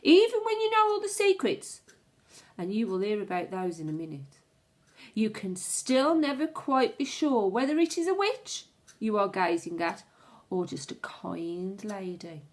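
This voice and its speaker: A woman teacher reading a storybook aloud to children in a dramatic, suspenseful way, with asides to her pupils. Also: mid-range voice. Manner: expressive, suspenseful, deliberate storytelling.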